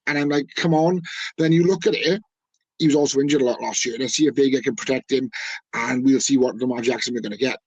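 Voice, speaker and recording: Guy rambling about football, kinda husky, dead dry sound. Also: medium pitch, fast, a little mellow, dry recording, highs cut off. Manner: rambling, enthusiastic, frequent fillers and restarts. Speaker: guest, team fan. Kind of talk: casual podcast chat.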